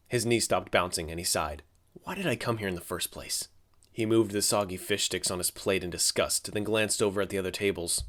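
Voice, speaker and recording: A young man reading scripted fiction as an audiobook narrator. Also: sits low.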